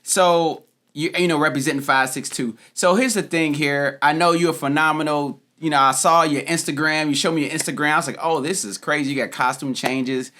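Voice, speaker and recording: A man's voice: on the high side, steady tone, brisk, hardly any pauses, pushed and bright. Laid-back slangy man hosting a podcast. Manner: laid-back slangy talk with many fillers. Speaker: podcast host. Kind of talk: casual interview chat.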